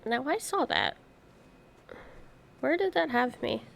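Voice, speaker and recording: Female game streamer, casual live commentary, very lively intonation, noticeable fry. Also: sits high, takes it slowly.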